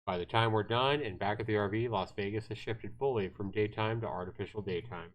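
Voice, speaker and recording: A man's voice: on the low side, a little fast. A man livestreaming a visual novel, reading the narration aloud casually and sometimes stumbling and correcting himself. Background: game music with guitar.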